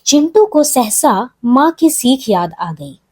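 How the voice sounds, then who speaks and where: A woman narrating a scripted children's story in an expressive, measured way. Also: sits high, breathy edge, no room sound.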